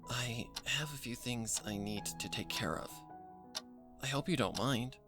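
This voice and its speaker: A narrator voicing a cheerful, grateful young female pony with a soft, sincere manner in a story reading. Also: medium pitch, measured pace, faint music behind, close to an everyday voice.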